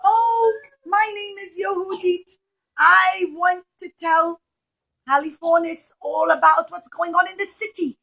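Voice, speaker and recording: A woman's voice: extremely high pitch, steady tone, unhurried, creaky, pushed and bright, pitched above the performer's own voice, heavily acted, low-quality recording. A woman playing a brave, cunningly sweet young widow in a children's puppet show, dramatic and coaxing. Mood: sweetly scheming.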